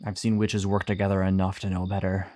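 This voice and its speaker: A man playing a gruff, wry, flirtatious monster hunter and bounty hunter in an immersive fantasy audio roleplay. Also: low-pitched, monotone, fast, a little mellow, natural voice. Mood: threatening, weary. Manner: teasing, wry, swearing, sighing.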